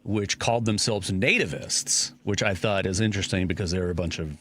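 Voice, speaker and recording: Low-voiced man hosting talk radio. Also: fairly quick, a bit bright. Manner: conversational, many fillers and restarts. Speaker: radio show host. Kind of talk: casual talk radio discussion.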